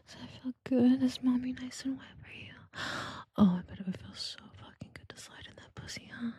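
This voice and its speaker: A woman speaking in an intimate, teasing and commanding erotic roleplay style, in a breathy whisper close to the microphone. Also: sits high, a little fast, dark tone, no echo. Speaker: erotic audio performer.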